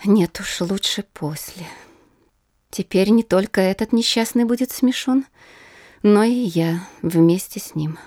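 An actress playing an anxious young married princess in an audio drama, theatrical, as if reading and musing aloud to herself. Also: female voice, mid-range voice, unhurried, darkish tone, close to an everyday voice. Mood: troubled, musing.

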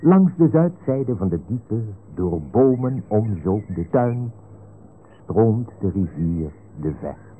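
A man with a Dutch accent narrating a radio serial in a measured, mock-solemn storytelling manner. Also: fairly low pitch, animated. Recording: muffled old radio recording. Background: music.

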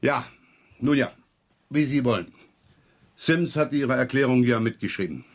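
An actor playing a wily middle-aged police inspector in a radio crime drama, dryly sarcastic, probing and feigning absent-mindedness. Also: male voice, mid-range voice, lively intonation, a little slow. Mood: dry sarcasm. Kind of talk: 1970s German radio crime drama acting.

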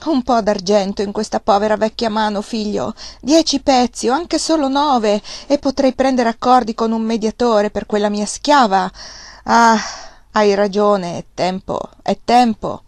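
A woman putting on a shrill, scheming old uncle. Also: unhurried, no room sound, studio quality. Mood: fawning. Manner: shrill and trembling when angry, fawning when begging. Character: wheedling greedy old village uncle, man.

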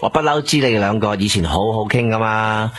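Actor as a smooth middleman, coaxing, persuasive, low husky voice, radio play. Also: quick pace, close to an everyday voice. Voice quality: wheedling, persuasive. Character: smooth-talking middleman running errands for a boss. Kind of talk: Hong Kong radio drama acting.

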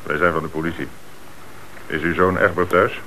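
An actor in a Dutch radio play playing a police commissioner who puts terse, probing questions with businesslike authority. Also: male voice, deep voice, level tone, normal speed, bright, forceful tone. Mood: official, firm. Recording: noisy old radio play recording.

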